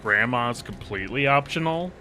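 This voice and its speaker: A man's voice: mid-range voice, expressive, moderate pace, pushed and bright, slight background noise. A man co-hosting a gameplay stream, talking bluntly and animatedly, swearing casually.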